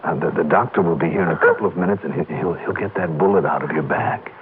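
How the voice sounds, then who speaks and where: Guy playing determined american radio detective, lowish voice, old tinny noisy recording. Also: fast, lightly acted. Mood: urgent, soothing. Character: American insurance investigator, urgent, persistent, determined. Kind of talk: 1950s radio detective acting.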